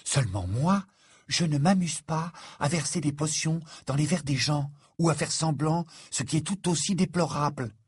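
A man voicing a bossy, exasperated, sharp-witted teenage schoolgirl in a storybook, with a cool lecturing manner. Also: mid-range voice, animated, moderate pace, slightly rough, no echo, studio quality. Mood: cool, disapproving.

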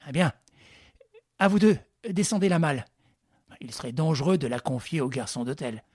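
A man voicing a cunning gentleman thief who gives orders briskly and with dry irony. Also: on the high side, expressive, slightly rough, dry recording. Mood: commanding. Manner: brisk, commanding, ironic.

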